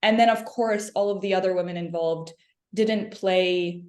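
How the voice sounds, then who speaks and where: A woman's voice: fairly low pitch, fairly even intonation, normal speed. A woman from Lebanon, a film director answering questions in a conversational interview. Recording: roomy, echoing interview sound.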